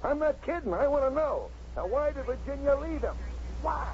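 A man's voice: very high voice, expressive, normal speed, a little mellow. An actor in a 1950s radio drama playing an earnest, blunt, dogged policeman who talks plainly, urgently and in slang. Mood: blunt, insistent. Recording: muffled, hissy 1950s radio recording.

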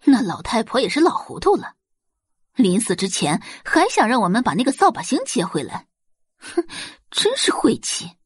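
A woman performs a middle-aged, well-off mother in an audio drama, swinging widely between doting and contempt. She is haughty and dismissive, and her voice is slightly husky. The recording is dry, with faint music behind. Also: a little high, sing-song, no echo, voice pushed up. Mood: scornful, disgusted. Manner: haughty, dismissive, drawn-out sighs and snorts.

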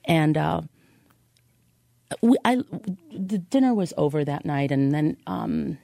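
Low, mellow-voiced woman telling her story. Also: expressive, gravelly, no room sound, studio quality. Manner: halting, with repetition for emphasis. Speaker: podcast guest. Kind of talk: personal storytelling in an interview.

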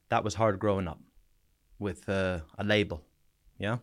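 A man from small-town eastern Canada with a Canadian accent touched by an Irish-sounding twang, telling his story frankly and haltingly as a podcast guest. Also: fairly low pitch. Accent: Canadian mixed with an Irish-sounding twang. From small town in New Brunswick, eastern Canada. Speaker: podcast guest, soldier back from war. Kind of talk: personal interview storytelling.

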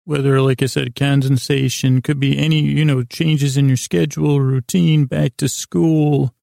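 A man with an American accent and a breathy, creaky voice hosts a sleep podcast, delivering a halting, meandering, whimsical bedtime monologue full of stammers and self-interruptions. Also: mid-range voice, flat delivery, dark tone, no echo, studio quality.

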